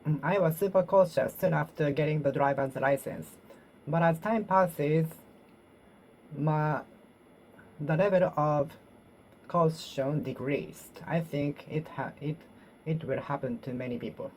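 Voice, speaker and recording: Low-voiced Japanese woman drilling English phrases. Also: darkish tone, a little hiss or hum, low-quality recording. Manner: repeats and reworks phrases, pausing and self-correcting. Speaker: English learner. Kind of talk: solo speaking practice, talking to herself.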